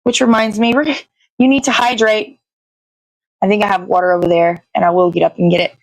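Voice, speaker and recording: A woman with a middle-pitched voice chats casually as a livestream host. She meanders through her thoughts, stops to hunt for the right word and swears offhandedly. The sound is dry, with very little room echo. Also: little pitch movement, fairly quick. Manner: meandering, searching for words, casually profane. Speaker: live show host. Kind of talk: casual rambling livestream chat.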